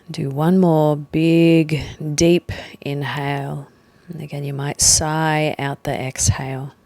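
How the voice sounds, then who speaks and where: A woman with a low voice leads a guided meditation. She speaks very gently and slowly, leaving space between short phrases. The sound is close and dry, with no room echo. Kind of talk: guided meditation, slow soothing instruction.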